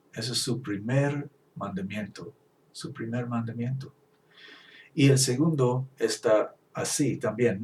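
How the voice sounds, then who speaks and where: Non-native man preaching, slow scripture reading. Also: mid-range voice, darkish tone, recorded from a distance. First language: English. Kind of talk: Bible teaching.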